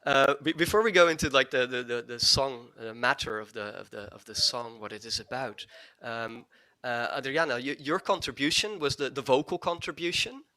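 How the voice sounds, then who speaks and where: A man's voice: mid-range voice, normal speed, sharp tone. A man hosting an online interview, friendly, with frequent restarts. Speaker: host.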